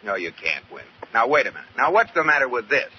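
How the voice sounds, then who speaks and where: An actor playing a wry, teasing, mildly exasperated young husband in a 1940s radio sitcom. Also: male voice, mid-range voice, sing-song, fast talker, close to an everyday voice. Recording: muffled, noisy 1940s radio recording.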